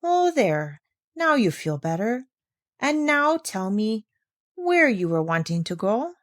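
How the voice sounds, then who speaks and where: A woman reading aloud voices a kindly older male doctor in a storybook, speaking in a gentle, soothing way. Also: medium pitch, sing-song, moderate pace.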